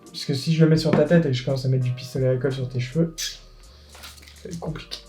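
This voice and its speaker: A man's voice: mid-range voice, steady tone, fast talker, mellow, faint music behind. A man hosting a casual do-it-yourself tutorial, explaining each step plainly and joking with his co-host. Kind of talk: casual DIY tutorial with banter.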